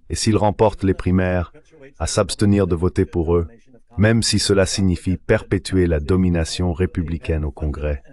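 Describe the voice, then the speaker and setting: A man's voice: low voice, darkish tone. A man's synthetic dubbing voice reading political commentary in a formal, articulate manner. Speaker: voice-over translator for a panelist.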